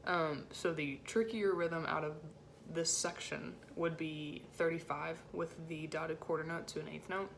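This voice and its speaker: A woman with a low-set voice giving an informal music rehearsal lesson as a choir section leader, in a casual, encouraging way with hesitant phrasing. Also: steady tone, a little slow, creaky, few pauses, a little hiss or hum. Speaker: choir section leader teaching alto parts.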